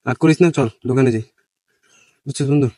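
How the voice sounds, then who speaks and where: A man's voice: mid-range voice, quick pace, warm tone, no room sound, lightly acted. A man with a Bangladeshi accent playing a scrappy, bossy shop worker in a comedy skit, speaking colloquially. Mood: soothing. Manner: repeats challenges, colloquial rural speech. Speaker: comic actor in an online skit. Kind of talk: broad comedy skit acting.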